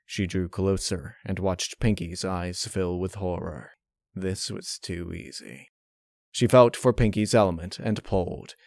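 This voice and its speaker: A man reading a dark fan fiction story as narrator, in a measured, dramatic way. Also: low voice, gravelly, dry recording, studio quality. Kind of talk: dark audiobook-style narration.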